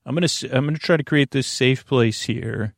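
A man hosting a bedtime podcast in a creaky voice, rambling in a lulling, halting, meandering way. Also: mid-range voice, dry recording, clean studio sound. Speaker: podcast host.

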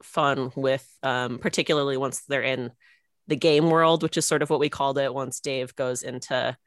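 A woman's voice: very deep voice, steady tone, normal speed, no echo. Woman, 30s, filmmaker guest. Casual and giggly interview chat, informal delivery, dry close recording. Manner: informal, giggly, punctuates talk with small laughs.

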